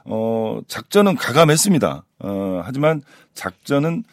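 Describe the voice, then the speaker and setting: A man analyst recapping a baseball game in a measured, steady way, with frequent filler sounds. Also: mid-range voice, no room sound, studio quality.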